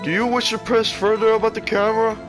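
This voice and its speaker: A man's voice: high voice, level tone, music in the background, voice pushed up, lightly acted. Man doing a ponderous, stern old judge. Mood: solemn. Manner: formal, deliberate, solemn. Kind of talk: video game character voice-over.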